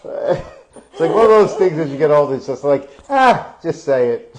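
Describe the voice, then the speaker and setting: A man's voice: on the high side, animated, moderate pace, rough recording. A middle-aged man co-hosting a casual real estate stats chat, rambling with many fillers and restarts and laughing often. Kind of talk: casual live stat chat.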